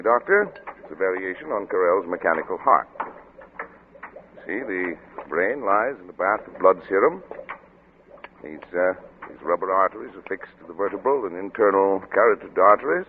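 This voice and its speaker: An actor playing an arrogant, obsessed middle-aged scientist, brisk and lecturing, in old radio drama style. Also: male voice, sits low. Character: obsessed, arrogant male scientist, cold and impatient. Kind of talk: 1940s radio drama acting. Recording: narrow-band, noisy old radio recording.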